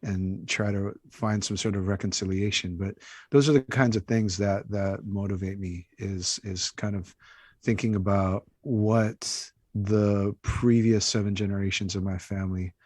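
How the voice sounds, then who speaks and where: A man with an American accent and a fairly low voice, recorded close and dry. He talks as an interview guest in a thoughtful, conversational way, feeling out his ideas with small asides. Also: fairly even intonation, a little slow, a little mellow, no echo. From Hawaii, United States. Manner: conversational, thoughtful, many hedging asides like "you know" and "right". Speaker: interview guest, author and activist. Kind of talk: casual reflective interview talk.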